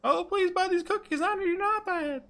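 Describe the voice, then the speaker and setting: Very high squeaky cookie seller, wheedling, pleading, tabletop game character. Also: male voice, moderate pace, bright, forceful tone, exaggerated voice.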